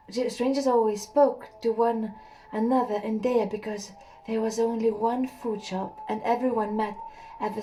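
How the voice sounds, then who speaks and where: A young woman reading a literary story aloud in a slow, hushed, measured way, with an occasional slip. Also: fairly high pitch, slight background noise, poor audio. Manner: slow, hushed and measured, with a few slips and laughs. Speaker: audiobook reader.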